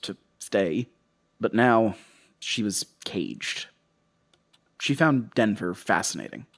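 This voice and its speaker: An actor playing a troubled, defensive investigator in a horror audio drama, halting, rambling and confessional. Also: male voice, on the low side, close to an everyday voice. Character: troubled, defensive male investigator recounting his case.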